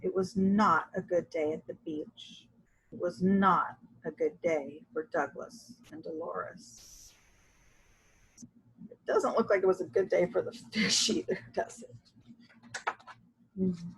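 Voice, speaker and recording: A woman reading a children's story aloud in an animated, expressive way with drawn-out emphasis. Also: fairly low pitch, creaky, slightly rough, poor audio. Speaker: storybook reader.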